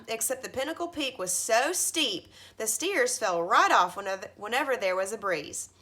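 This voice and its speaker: A woman in her thirties reading a children's storybook aloud like a teacher, with expressive emphasis at the starts of phrases. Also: a little high. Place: carpeted living room at home, indoors.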